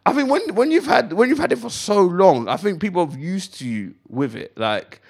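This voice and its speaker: A young male comedian chats casually as a radio guest. His slightly high voice swings widely in pitch as he jokes in slang and repeats phrases for emphasis. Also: very animated, normal speed, creaky, a little mellow. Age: young adult. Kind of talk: casual comic chat.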